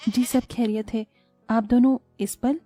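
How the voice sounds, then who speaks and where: A woman's voice: on the high side, steady tone, a little slow, warm tone, dry recording, soft background music. An actress playing a polite, devout poor mother in a cartoon story.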